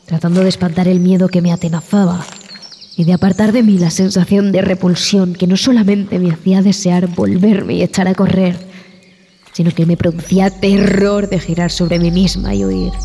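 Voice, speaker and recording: A woman's voice: on the low side, mellow, a little hiss or hum, lightly acted. Haunted woman zoologist played by an actress, reverberant horror drama. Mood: haunted, fearful. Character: frightened, repulsed female expedition zoologist recounting horrors. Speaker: narrator and lead actress. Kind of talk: dramatic first-person horror audio drama narration. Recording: long reverb, music behind.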